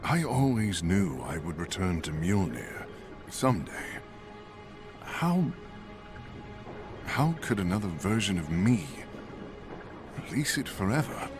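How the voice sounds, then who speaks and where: A man's voice: sits low, very animated, slow pace, pauses often, over music, heavily acted. A man playing an earnest, noble, big-hearted thunder god in a video game, grave and formal in his delivery. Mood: regretful, grave. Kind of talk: dramatic video game dialogue.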